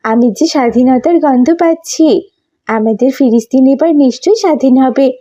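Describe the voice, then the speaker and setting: A woman's voice: sits high, fairly quick, dark tone, muffled recording. A woman voicing a fervent, hopeful young Palestinian woman in a cartoon, speaking emphatically. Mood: confident, excited. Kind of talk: cartoon dialogue acting.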